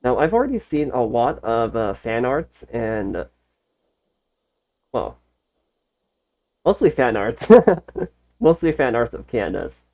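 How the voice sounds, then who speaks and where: A woman's voice: a bass voice, big pitch swings, slow, long pauses, a little mellow. A woman hosting a reaction video with casual, amused commentary and hesitant musing. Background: faint music.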